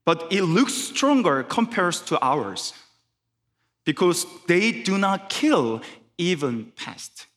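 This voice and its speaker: A man with a Korean accent preaches at an unhurried pace, his voice sitting a little higher than most men's. He picks his English words with care and sometimes starts a phrase over. A long church reverb rings behind him. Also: big pitch swings, a bit bright. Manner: careful, halting English with restarts. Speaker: guest preacher. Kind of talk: sermon. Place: church sanctuary.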